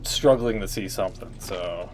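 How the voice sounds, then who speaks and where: A man's voice: sits low, moderate pace. A man giving casual live-stream commentary on a game in a blunt, rambling way. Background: faint music.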